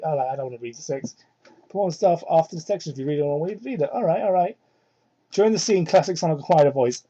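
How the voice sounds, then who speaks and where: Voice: mid-range voice, darkish tone, breathy edge. A person giving a mocking dramatic reading of fan fiction, breaking off into exasperated, incredulous, foul-mouthed asides, on a poor-quality hobby microphone. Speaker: amateur reader of fan fiction.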